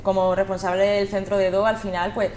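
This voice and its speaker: A woman's voice: mid-range voice, level tone, fairly quick, a little mellow, roomy sound, slight background noise. A woman interviewing guests on local TV, asking hesitant questions full of fillers. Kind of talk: TV studio interview.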